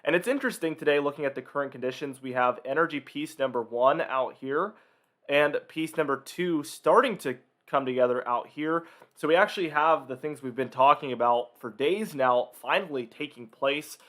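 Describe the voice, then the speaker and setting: A man hosting an informal weather briefing, emphatic in his phrasing, his voice slightly nasal or hoarse from a cold. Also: a little high, moderate pace, a bit bright. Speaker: weather forecaster and video host.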